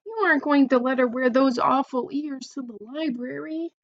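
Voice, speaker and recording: A woman's voice: high-pitched, fairly even intonation, normal speed, no room sound, lo-fi sound, pitched above the performer's own voice. A woman voicing an exasperated, easily embarrassed schoolgirl older sister from a storybook, complaining and pleading. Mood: whiny, complaining.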